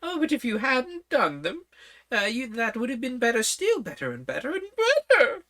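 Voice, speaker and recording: Scatterbrained old storybook queen, high and fluttery, a woman reading it. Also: wildly swooping pitch, moderate pace, a bit bright.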